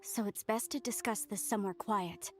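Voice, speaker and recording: A woman's voice: medium pitch, moderate pace, darkish tone, faint music behind, close to an everyday voice. A woman voicing an earnest, inquisitive young scholar in a video game, formal and articulate.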